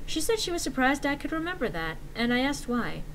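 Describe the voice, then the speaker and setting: A woman performs a dramatic reading of an internet horror story in a bright, slightly high voice. She is theatrical and expressive, with a little noise behind her. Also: fairly quick, low-quality recording.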